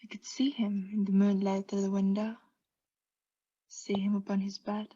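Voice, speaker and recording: A woman playing a mad condemned murderess in a radio play, intense and halting, often sinking into breathy hushed tones as she insists she is sane. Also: mid-range voice, completely flat delivery, lo-fi sound, close to an everyday voice. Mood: hushed, conspiratorial. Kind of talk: theatrical radio play monologue.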